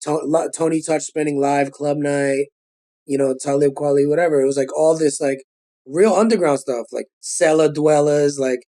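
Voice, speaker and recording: A man in his forties with a thick Brooklyn accent, telling stories in a casual, animated, slangy way as an interview guest. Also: on the high side, moderate pace. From Brooklyn, New York. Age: 40s. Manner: animated street-style storytelling, slangy. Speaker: guest rapper.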